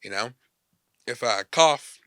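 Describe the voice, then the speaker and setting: A young man hosting a casual sports podcast, chatty and energetic with loose slang, his voice a little stuffy from a cold. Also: medium pitch, slow, dry recording, clean studio sound. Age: young adult. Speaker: podcast host.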